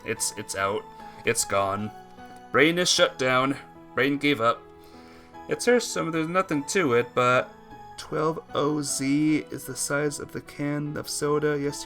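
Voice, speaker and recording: A man's voice: medium pitch, measured pace, pushed and bright, soft background music. A man casually chatting and reading while playing a visual novel, joking and rambling in a self-mocking way. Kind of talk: casual let's-play chat and reading aloud.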